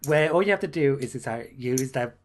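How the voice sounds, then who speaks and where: A man's voice: mid-range voice, animated, brisk. Easygoing guy on a game podcast, laughs a lot, restarts his sentences. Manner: laughs often, trails off and restarts. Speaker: podcast co-host. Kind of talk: casual gaming chat.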